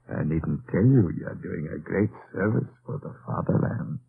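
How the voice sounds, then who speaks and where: An actor playing a smug, suspicious Gestapo commandant in an old-time radio drama. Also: male voice, sits low, expressive, unhurried, gravelly, heavily acted. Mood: smug, commanding. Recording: noisy, tinny vintage radio recording.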